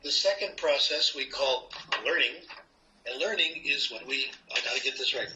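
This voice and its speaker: An older American man talks like a professor at the board, playful and quick with asides. His voice is very bright and forceful. The recording sounds dull and lo-fi. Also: mid-range voice. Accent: American English. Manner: playful, lively teaching tone with asides. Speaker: linguistics professor, interview guest. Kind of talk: explaining to an interviewer.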